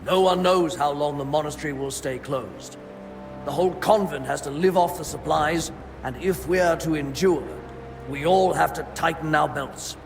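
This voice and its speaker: A fussy monk from a video game, guarding the food stores. His male voice is gravelly and slightly high, with grudging, pious formality. It rings in long reverb with music behind it. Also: moderate pace. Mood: stern. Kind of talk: video game cutscene acting.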